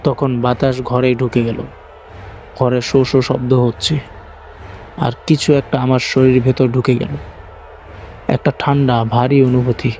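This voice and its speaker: Dark-voiced man narrating horror. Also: mid-range voice. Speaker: channel host and horror story narrator. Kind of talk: scripted first-person horror story narration. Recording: faint music bed under narration. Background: faint music.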